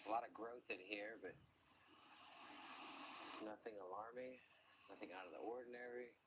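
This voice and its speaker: A man working as an underwater search diver, narrating the search step by step outdoors by ponds and calmly reporting depths and findings. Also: fairly low pitch, normal speed, very noisy.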